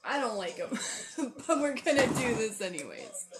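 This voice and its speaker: A hoarse, tired-sounding woman hosting a casual livestream, rambling and thinking aloud as she sets up. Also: fairly high pitch, animated, normal speed, loud music behind the voice. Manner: rambling, self-deprecating, thinks aloud while sorting pieces. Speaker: livestream host. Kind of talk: casual livestream chat while setting up a build.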